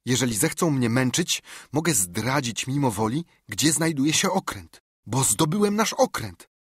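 A man's voice: medium pitch, very animated, a little slow, husky, no room sound, studio quality, pitched above the performer's own voice. A man voicing a frightened but honourable teenage boy who pleads and holds firm, in an audiobook. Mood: pleading. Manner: pleading, stubborn. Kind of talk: audiobook character reading.

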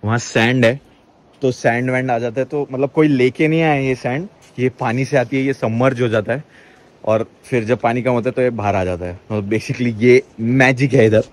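A young man talking excitedly on a casual travel vlog, mixing English words into his Hindi. He records outdoors by a river and in a small round boat, speaking into a handheld camera. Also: medium pitch, normal speed. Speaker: travel vlogger.

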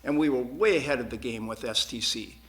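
A man answering questions as a school board candidate at a forum, earnest and emphatic, often repeating words haltingly. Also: medium pitch, a bit bright. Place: TV studio.

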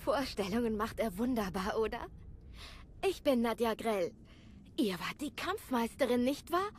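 A friendly young female Jedi in a video game. Her voice is fairly high, chatty and inquisitive, with a faint rumble underneath. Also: lively intonation, a little slow. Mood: curious, friendly. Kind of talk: German video game voice acting.

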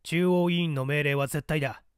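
A man's voice: fairly high pitch, steady tone, normal speed, smooth, no room sound, studio quality, lightly acted. A man voicing a stern masked courier of a secret party, giving clipped, formal, authoritarian orders in an audiobook drama. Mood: stern, imperious.

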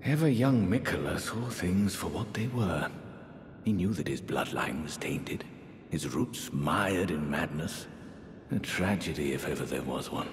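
Deep, slow, genteel sorcerer priest. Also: male voice, animated. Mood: sorrowful, bitter. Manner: theatrical, archaic, drawn-out phrasing. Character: genteel, eerie aristocratic sorcerer high priest, grandiloquent and soothing. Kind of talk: dramatic fantasy game character dialogue. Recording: echoey hall reverb, faint rumbling noise.

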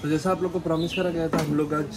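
Young man asking steady, level questions. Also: fairly high pitch, fast talker, loud music behind the voice, rough recording. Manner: polite, leads the questions. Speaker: vlog co-host interviewing an owner. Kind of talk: casual on-camera interview. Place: outdoors under a shed roof.

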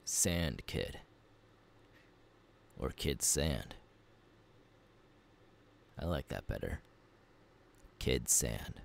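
A man voicing a gruff, easygoing pirate captain in an ASMR roleplay, gravelly and unhurried, talking intimately to the listener. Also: a bass voice, big pitch swings, a little hiss or hum, exaggerated voice. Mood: relaxed, welcoming. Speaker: voice actor.

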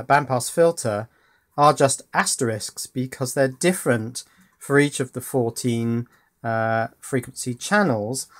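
A man hosting a casual hands-on electronics tutorial, informally thinking aloud as he works. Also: medium pitch. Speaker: host.

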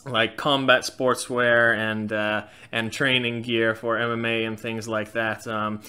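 A man's voice: mid-range voice, unhurried, clean tone. A man in his thirties from Norway with a slight Norwegian accent, hosting a casual Q&A from a small room and talking frankly and animatedly. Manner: frank, animated, gestures a lot. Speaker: channel host. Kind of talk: casual Q&A chat.

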